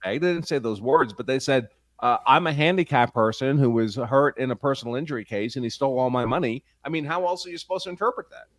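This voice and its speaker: A man's voice: medium pitch, fast talker, hardly any pauses, no echo. A man arguing emphatically in a live panel discussion as a legal commentator, posing rhetorical questions and repeating points for stress. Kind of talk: argumentative live discussion of a court case.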